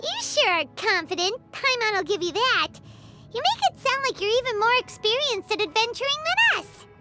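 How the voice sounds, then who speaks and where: A tiny fairy sidekick from a video game, with an extremely high, squeaky child's voice. The delivery is excitable and indignant, full of exclamations and wide swings in pitch. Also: female voice, moderate pace. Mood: grudging. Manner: exclamatory, speaks of self in third person. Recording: music behind the voice.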